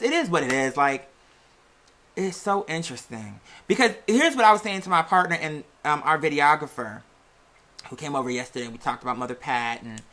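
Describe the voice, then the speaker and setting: A podcast host chatting casually and sassily to listeners, with theatrical, drawn-out emphasis on key words. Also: medium pitch, pushed and bright. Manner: sassy, chatty, addresses listeners as "girl" and "y'all". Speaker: show host and music artist. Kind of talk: casual conversational monologue to listeners.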